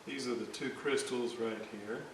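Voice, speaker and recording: A man giving casual hands-on repair commentary, thinking aloud in a hesitant, halting way as he works. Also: medium pitch, steady tone. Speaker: electronics restorer narrating a repair video. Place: indoor room at a workbench. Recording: very echoey room, distant mic, clear hiss.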